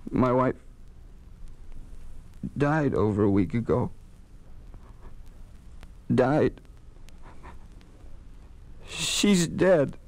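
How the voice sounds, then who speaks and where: Haunted, halting grieving widower. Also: male voice, medium pitch, expressive, darkish tone. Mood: bitter grief. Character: broken, bitter grieving widower, sick and desperate. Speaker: actor in radio drama. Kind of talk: 1950s radio drama acting.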